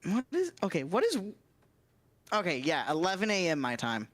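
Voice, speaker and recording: A woman's voice: fairly low pitch, wildly swooping pitch, moderate pace, dry recording. Easygoing, giggly woman co-streamer. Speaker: co-streamer, guest voice actor. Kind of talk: casual livestream chat.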